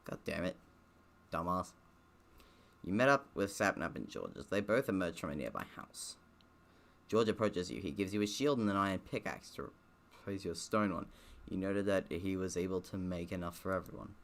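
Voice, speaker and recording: A man's voice: sits low, expressive, moderate pace, creaky, fairly bright tone. A man doing let's play commentary, reading visual novel text aloud and breaking off for sarcastic, grumbling asides. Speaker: streamer playing and reading a game aloud.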